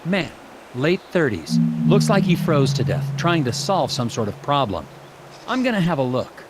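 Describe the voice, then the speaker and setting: Earnest FBI agent, slightly uneasy, formal, video game character, lively intonation, higher-pitched voice. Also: male voice, moderate pace, heavy background noise. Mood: musing, determined. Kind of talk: scripted video game dialogue and narration.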